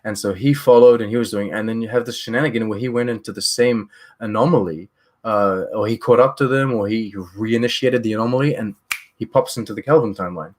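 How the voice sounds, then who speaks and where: A young man chatting casually on a live stream from a small room, rambling loosely with animated gestures, through a headset mic. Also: on the low side, fairly quick. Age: young adult.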